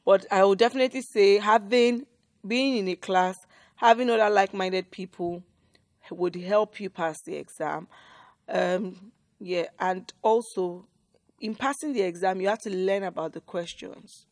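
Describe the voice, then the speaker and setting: A woman's voice: mid-range voice, unhurried, no room sound, studio quality. A woman with a Nigerian accent giving casual, conversational advice as a co-host, explaining emphatically and often checking in with "right?". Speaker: HR professional and talk co-host.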